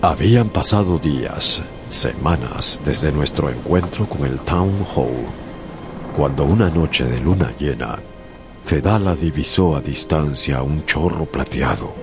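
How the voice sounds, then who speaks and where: A seafaring narrator in a radio drama. His extremely deep voice tells the tale gravely, with music running underneath. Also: lively intonation, unhurried. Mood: grave, suspenseful. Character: male sailor narrator recalling a doomed whaling voyage.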